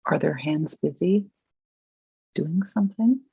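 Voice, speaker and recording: A person leading a guided visualization, asking gentle, measured questions with long pauses between them. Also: mid-range voice, vocal fry, husky, dry recording, lo-fi sound.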